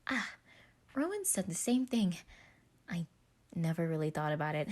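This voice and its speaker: A woman voicing a friendly, excitable giant man in a story, gushing and stammering when flustered. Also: on the low side, normal speed. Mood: excited, welcoming.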